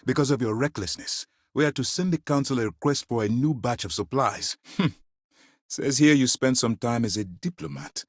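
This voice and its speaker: Commanding, animated military officer. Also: male voice, lowish voice, no echo, clean studio sound. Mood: stern, angry. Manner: authoritative, formal, measured. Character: stern, principled male military commander of a space navy. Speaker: video game voice actor. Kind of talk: acted game dialogue.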